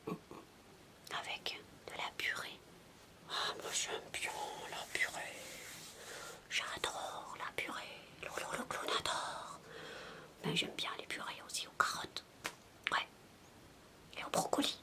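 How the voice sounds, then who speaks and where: Casting: woman to play a madcap babysitter for soft ASMR roleplay, whispery, unhurried, higher-pitched, coaxing and chatty with a small child. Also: close to an everyday voice. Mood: silly, amused. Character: zany playful babysitter entertaining a six-year-old child.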